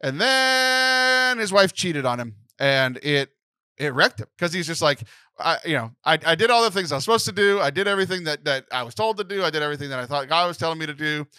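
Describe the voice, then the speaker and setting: A man's voice: on the high side, lively intonation, fairly quick, sharp tone, clean tone, dry recording. A man co-hosting a casual podcast, joking and often laughing, on a close mic. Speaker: podcast co-host.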